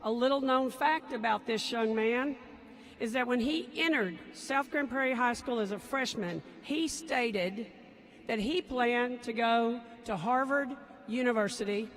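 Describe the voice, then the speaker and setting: A woman's voice: a little high, a little slow. A woman introducing student speakers at a graduation ceremony, proudly listing their honors. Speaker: school administrator introducing speakers. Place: large stadium.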